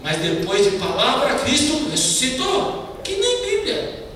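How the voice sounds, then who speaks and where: Animated preacher in an echoey hall. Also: male voice, extremely high pitch, unhurried. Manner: animated storytelling, mimics broken Portuguese. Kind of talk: lively testimony sermon. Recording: echoey hall, distant mic, background noise.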